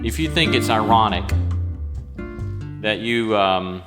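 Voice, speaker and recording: A man's voice: fairly low pitch, expressive, slow, music in the background. A man hosting a live interview on stage, putting questions to his guest in a hall. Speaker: host, interviewer. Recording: reverberant hall.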